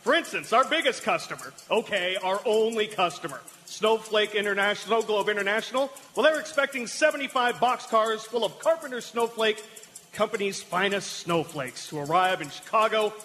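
Proud folksy older factory man, high bright voice, earnest, music behind, roomy hall. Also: expressive, moderate pace. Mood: proud, explaining. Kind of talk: comic live radio play acting.

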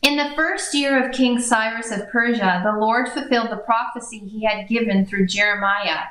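A woman's voice: fairly high pitch, steady tone, normal speed, a bit bright. A woman co-hosting a Bible study discussion, earnest, reading scripture aloud and posing questions. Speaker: co-host. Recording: echoey room, far from the mic.

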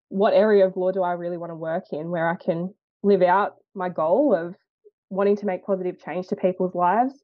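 A young Australian woman from New South Wales speaking as an interview guest, earnest and reflective, with thoughtful pauses. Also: medium pitch, no room sound, muffled recording. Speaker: lawyer and guest. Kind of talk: interview, personal story.

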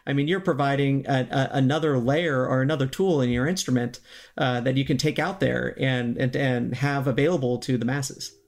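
A man's voice: medium pitch, fairly even intonation, normal speed. A man speaking as an interview guest, explaining things in an earnest, conversational way. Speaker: podcast guest, synthetic voice company executive.

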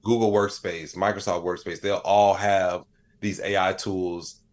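A man's voice: low-pitched, little pitch movement, a little slow. A man speaking as a podcast guest in a casual, rambling, slangy conversational style. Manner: rambling, slangy, frequent "you know what I'm saying". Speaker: podcast guest, AI expert and comedian.

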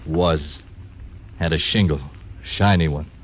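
A bitter ex-doctor croupier, terse, deep voice, muffled vintage radio. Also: male voice, slow, slightly rough, heavily acted. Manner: terse, clipped, sardonic. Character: disgraced frontier doctor turned croupier, bitter. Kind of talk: 1940s radio acting. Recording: dull old radio recording.

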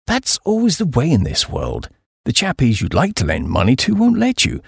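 A man's voice: on the high side, creaky, husky, no room sound, clean studio sound. A man with a British accent reading a comic story as an audiobook narrator.

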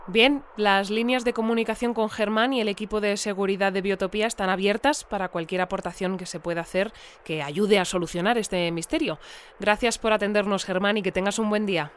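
An actress playing a composed, formal presenter of a news bulletin in an audio drama. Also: female voice, mid-range voice, fairly even intonation, fairly quick, a little hiss or hum, lightly acted. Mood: composed, informative. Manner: composed, formal, clearly scripted.

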